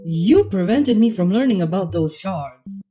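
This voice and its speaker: A woman's voice: medium pitch, expressive, unhurried, warm tone, highs cut off, lightly acted. Inquisitive scholarly pony mare voiced by a woman. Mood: suspicious. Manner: questioning. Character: inquisitive, scholarly female pony, suspicious and earnest.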